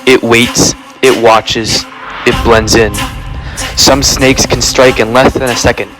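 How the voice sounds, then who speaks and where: A man's voice: medium pitch, flat delivery, rough recording. A man narrating a competition show in a scripted, dramatic style, then explaining the rules as host. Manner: dramatic, measured build-ups. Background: music.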